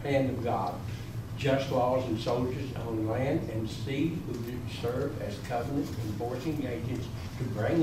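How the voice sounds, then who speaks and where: A man reading a solemn proclamation aloud from a script at a readers theater rehearsal. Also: medium pitch. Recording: very echoey room, distant mic, clear noise.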